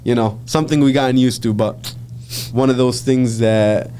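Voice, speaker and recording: A man from Michigan hosting a casual podcast interview, chatty and relaxed, with frequent fillers and restarts. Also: medium pitch, normal speed, a little hiss or hum. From Michigan, USA. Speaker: podcast host.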